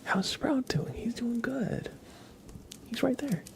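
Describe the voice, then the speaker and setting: A man chatting casually on an ASMR livestream in a soft, often whispered voice, relaxed and rambling, close to the microphone. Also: very high-pitched, slow pace, creaky. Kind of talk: ASMR, casual chat with viewers.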